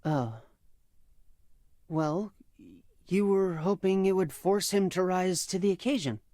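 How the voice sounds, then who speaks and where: Younger brother: boyish, loyal, inquisitive Spartan youth in a game drama, dry close sound. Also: male voice, high-pitched, slow pace, warm tone, dry recording. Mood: consoling. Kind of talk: dramatic video game acting.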